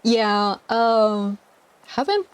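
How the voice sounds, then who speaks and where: A young woman in her twenties, soft-spoken and hesitant, chatting on a live stream while gaming, with a mic clipped to her collar. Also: fairly high pitch, lively intonation, extremely slow, low-quality recording. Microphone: lapel mic clipped to collar. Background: faint game music.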